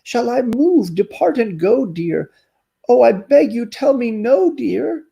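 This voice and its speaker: A besotted little storybook turtle pleads for love in a squeaky, sing-song falsetto. His wooing voice swoops up high yet stays soft and mellow. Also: very animated, takes it slowly, vocal fry, dull audio, exaggerated voice. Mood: pleading. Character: lovesick pleading male turtle.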